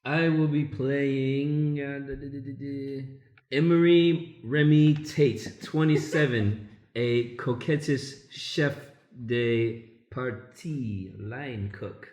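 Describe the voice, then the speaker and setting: A man's voice: mid-range voice, takes it slowly, a little mellow, roomy sound. A man, an improv actor, casually and jokingly introducing himself on a podcast.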